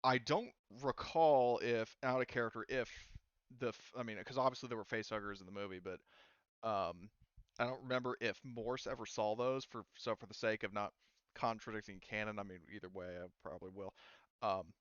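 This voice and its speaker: A man with a smooth, clear voice speaks as game master of a tabletop roleplaying game. He explains in a casual, halting, improvised manner. The recording is dry and slightly dull. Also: lowish voice, fairly even intonation, no room sound.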